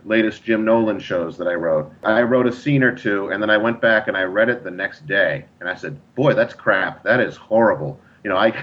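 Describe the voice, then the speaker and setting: A man's voice: fairly low pitch, fairly bright tone. A man talking conversationally as a guest writer, self-deprecating and rambling as he gives advice. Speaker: guest, audio drama writer. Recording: muffled lo-fi with background noise.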